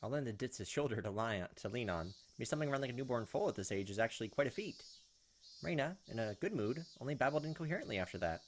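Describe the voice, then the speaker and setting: Fast-talking man narrating a visual novel playthrough. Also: medium pitch, lively intonation, a little hiss or hum. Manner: jokey asides and reactions. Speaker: gaming video host. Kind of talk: casual let's play, reading visual novel text aloud with offhand commentary. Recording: music behind the voice. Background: visual novel game music.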